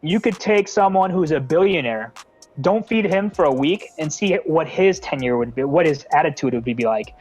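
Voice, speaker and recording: A man's voice: fairly high pitch, animated, moderate pace, darkish tone. A young American man from the Bay Area, a nonprofit founder being interviewed, talking earnestly with many fillers and restarts. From San Francisco Bay Area. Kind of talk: casual interview talk.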